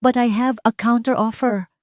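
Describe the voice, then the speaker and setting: Woman as a calm, resolute male executive. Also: a little high, normal speed, dry recording, clean studio sound, pitched above the performer's own voice, natural voice. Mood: calm, firm. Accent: Filipino. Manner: steady, clear, controlled.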